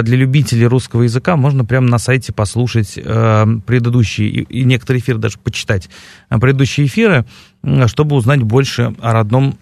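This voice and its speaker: A male radio host talks through his show with plenty of hesitant ums. His voice has a gravelly, fry-tinged texture. It sounds dry and close, as if recorded on a studio mic. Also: medium pitch, a little mellow, no room sound. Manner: hesitant, many filler sounds. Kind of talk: educational radio talk show. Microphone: studio microphone.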